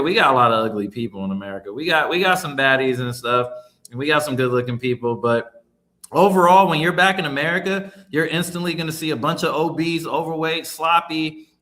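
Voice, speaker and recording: Man from Colombia, casual rambler, forceful bright voice. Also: mid-range voice. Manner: rambling, lots of "like" and "you know". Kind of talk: casual opinion chat.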